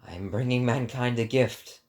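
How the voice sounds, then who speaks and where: A man's voice: mid-range voice, moderate pace, a little mellow, lightly acted. A man voicing a proud, solemn sage with grave, declarative delivery in a dramatic book reading. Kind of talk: dramatic audiobook character reading.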